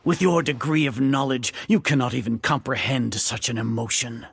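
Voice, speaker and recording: An actor playing a haughty, older scholarly leader in a video game, lecturing with grandiose contempt. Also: male voice, mid-range voice, steady tone, normal speed, creaky, a little hiss or hum, close to an everyday voice. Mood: condescending. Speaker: grand sage of an academy.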